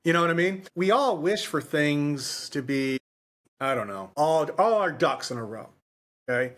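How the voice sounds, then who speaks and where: A man's voice: on the high side, lively intonation, moderate pace, fairly bright tone. Rambling man chatting about books. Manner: rambling, repeats himself. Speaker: podcast co-host. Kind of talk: casual book discussion.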